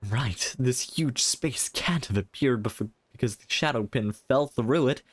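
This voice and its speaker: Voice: mid-range voice, a little slow, slightly rough, no echo. A game streamer voicing a grave, composed guardian scout who explains ancient legends. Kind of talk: game dialogue read aloud.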